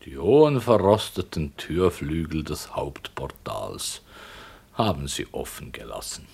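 Slow, deep-voiced wry writer. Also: male voice, sing-song, vocal fry, a little mellow, husky, heavily acted. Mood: musing, descriptive. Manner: deliberate, wry, halting descriptive phrases. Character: ironic, detached writer slowly conjuring his story's scenery. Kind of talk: 1960s German radio play narration. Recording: noisy old radio recording, low rumble.

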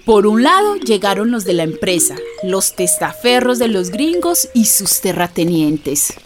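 A woman's voice: mid-range voice, very animated, measured pace. A woman giving dramatic, storytelling scripted narration for a radio drama. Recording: clear music bed behind.

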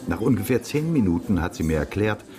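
An actor playing a dry, composed male investigator in a radio crime drama, with a deliberate, understated delivery. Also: fairly low pitch, very animated, over music. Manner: deliberate, understated, slightly old-fashioned wording. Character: dry, composed widowed male investigator reporting his findings.

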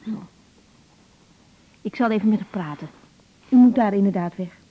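An actress playing a caring, overworked young doctor in a naturalistic radio drama, talking gently with small hesitations. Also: female voice, mid-range voice, moderate pace, natural voice. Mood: calm, concerned. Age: young adult. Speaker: general practitioner in a radio drama. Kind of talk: naturalistic 1980s Dutch radio drama acting. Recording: slightly dull 1980s radio recording.

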